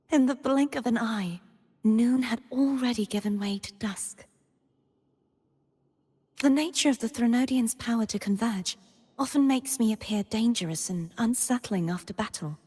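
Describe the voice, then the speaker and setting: A young maiden knight for a fantasy game, wistful yet quietly resolute. Her voice is fairly high and airy, with soft, measured delivery. A long reverb trails behind her words. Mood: wistful, tender. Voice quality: breathy. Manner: soft, measured, formal storybook phrasing. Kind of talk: scripted game character voice lines.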